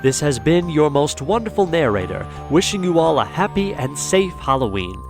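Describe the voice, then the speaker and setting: A man's voice: a little high, sing-song, moderate pace, over music. A man narrating an audio drama in a theatrical, playful and slightly grandiose storytelling style.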